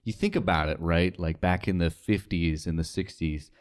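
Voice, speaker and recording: Low-pitched man chatting casually. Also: normal speed, clean tone. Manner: informal, rambling, frequent "like". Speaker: podcast guest, radio sales associate. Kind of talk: casual conversational interview.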